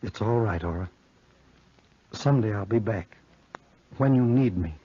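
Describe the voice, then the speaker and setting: A man's voice: on the low side, lively intonation, unhurried, dark tone, slightly rough. An actor playing an earnest, anxious, lovesick young college man with strained melodrama in a 1940s radio horror play. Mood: sad, devoted. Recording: muffled 1940s radio broadcast recording.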